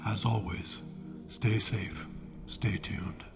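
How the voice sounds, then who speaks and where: A man hosting a radio drama program, reading scripted closing credits as an announcer in a hushed, breathy voice. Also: fairly low pitch, fairly even intonation, slow, over music.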